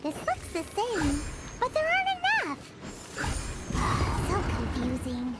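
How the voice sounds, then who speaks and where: A woman's voice: high voice, huge pitch swings, measured pace, very noisy, full character voice. A woman voicing a small, curious, childlike girl companion in a video game. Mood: puzzled. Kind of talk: video game character voice acting.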